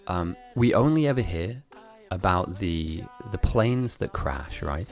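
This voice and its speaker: A chatty man with an English accent who grew up speaking German and Italian talks loosely and laughs often while he streams a game. His voice sits low and has a creaky, gravelly edge. Soft music plays faintly underneath. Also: lively intonation, slow pace. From grew up in Germany and Italy. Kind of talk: casual chat while gaming, reading viewer messages.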